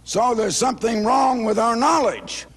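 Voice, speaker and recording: A man in his eighties, hoarse, giving a formal speech at a banquet in slow, emphatic phrases. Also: extremely high pitch, fairly bright tone. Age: 80s. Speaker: speaker at a banquet. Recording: reverberant banquet hall.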